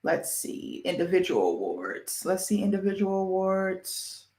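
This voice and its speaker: A woman's voice: lowish voice, very animated, unhurried, dark tone, reverberant. A woman with an American Midwest accent hosting a sports show casually, chatty and self-deprecating as she reads through lists and sounds out names.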